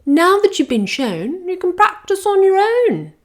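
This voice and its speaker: A woman voicing a bossy female dragon schoolteacher who gives orders in a loud, high voice. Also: very animated, unhurried, heavily acted. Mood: commanding.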